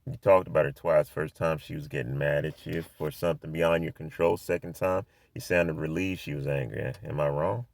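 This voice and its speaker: A man playing a loud, mocking man who confronts and interrogates another person, swearing as he goes. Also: rumbling low, fairly even intonation, moderate pace, creaky, few pauses. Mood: probing. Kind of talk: dramatic scene reading.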